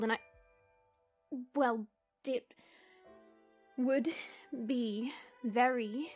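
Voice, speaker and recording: Woman acting as guarded young ally, aloof, slow halting speech, fantasy drama, faint music. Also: fairly high pitch, a little mellow. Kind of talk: fantasy audio roleplay acting.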